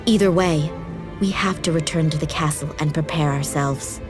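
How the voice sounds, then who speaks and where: Actress doing a serious rpg warrior lady, lowish voice, composed, music in the back. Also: close to an everyday voice. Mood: resolute. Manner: measured, serious, formal. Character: resolute, composed warrior woman.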